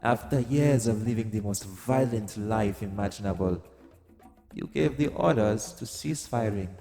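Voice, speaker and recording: A man playing an exiled god of vengeance in an audio roleplay, theatrical and deliberate, coaxing and taunting with dark amusement. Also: on the low side. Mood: grave, scornful. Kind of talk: dramatic audio roleplay monologue to the listener. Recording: long cavernous reverb. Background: music.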